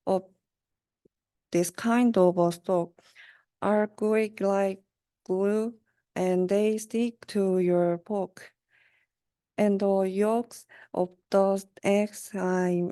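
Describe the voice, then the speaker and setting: A young woman reading a rhyming children's storybook aloud in a halting, word-by-word manner. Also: mid-range voice, warm tone, clear, resonant tone, no room sound. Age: young adult. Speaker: student reader.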